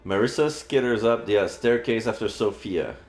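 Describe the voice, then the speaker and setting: A man streaming a read-aloud of a visual novel, narrating in an expressive storytelling style. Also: lowish voice, moderate pace. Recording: roomy sound, faint background music.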